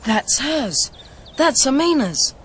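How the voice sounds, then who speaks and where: A young male actor playing an earnest, imperious noble boy in a radio drama, with a youthful, breathy voice and formal, insistent delivery. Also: very high-pitched, big pitch swings, takes it slowly, fairly bright tone, a little hiss or hum, full character voice. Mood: earnest, anxious. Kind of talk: British radio drama acting.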